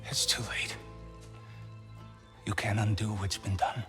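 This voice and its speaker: An actor playing a bitter, wry Norse god who leads rebels in a video game, speaking in a sardonic, deliberate way. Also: male voice, lowish voice, little pitch movement, bright, forceful tone, big-room sound, soft background music. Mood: grim, wary. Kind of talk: cinematic video game acting.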